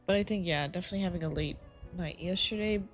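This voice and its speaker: A woman's voice: fairly low pitch, fast, faint music behind. A woman game streamer chatting casually on a live stream, her voice tired and a little scratchy. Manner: relaxed, rambling, chatty.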